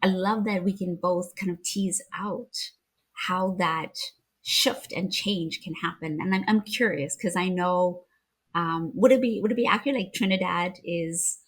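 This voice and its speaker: Woman, from Zimbabwe, podcast host, reflective, affirming, slightly low voice. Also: unhurried, slightly rough. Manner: warm affirming, reflective, many small restarts. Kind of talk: conversational interview.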